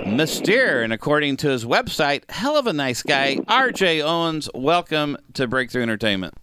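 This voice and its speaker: A man's voice: fairly high pitch, very animated, normal speed, noisy background. A man hosting a live radio show, bantering jokily with his co-hosts and a guest, with frequent fillers. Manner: chatty and joking, with frequent filler words. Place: radio studio.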